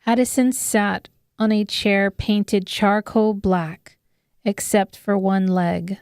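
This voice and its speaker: A woman with a smooth, clear voice giving scripted dramatic narration of an audiobook. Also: mid-range voice, fairly even intonation, slow, a little mellow, dry recording, clean studio sound.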